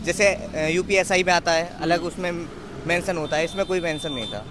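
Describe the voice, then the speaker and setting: A man's voice: fairly high pitch, normal speed. A young man giving blunt opinions as an exam candidate in a casual street interview. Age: young adult. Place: outdoors on a busy street. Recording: outdoor crowd and traffic noise.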